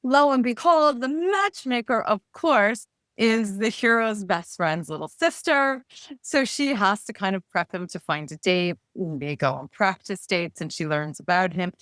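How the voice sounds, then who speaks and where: A woman author being interviewed, pitching her books conversationally with upbeat, playful storytelling. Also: expressive, a little slow, a bit bright, no room sound, clean studio sound.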